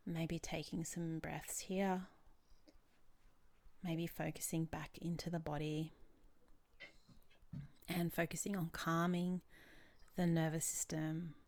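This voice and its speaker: Low-voiced woman guiding a healing session. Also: little pitch movement, vocal fry. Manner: soothing, measured, gentle prompting with pauses. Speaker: host and therapist guiding a tapping session. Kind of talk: guided healing session, calm step-by-step instructions.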